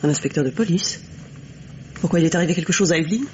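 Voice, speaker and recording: A woman's voice: on the low side, fast talker, a little mellow, lightly acted. An actress playing a proud young lady, alarmed and insistently defensive, in the melodramatic manner of 1950s French radio drama. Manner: agitated, breathlessly insistent. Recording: dull lo-fi old radio, noisy.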